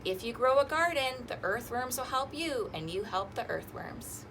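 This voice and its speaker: A woman's voice: fairly high pitch, animated, normal speed, fairly bright tone, a little hiss or hum, poor audio. A woman hosting an interactive storytime, reading aloud to young children with singsong emphasis and inviting them to join in. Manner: asks listeners questions and invites them to join in.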